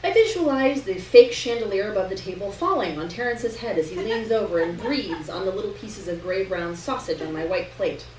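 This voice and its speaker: A woman narrates literary fiction at a live reading, in a medium-pitched voice. She is picked up from a little way off in an echoey room, with noise behind her. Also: lively intonation, a little fast, hardly any pauses, a little mellow. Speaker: story reader. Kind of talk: literary narration read aloud to an audience. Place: live reading in a roomy indoor venue. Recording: roomy distant live recording, noticeable hiss.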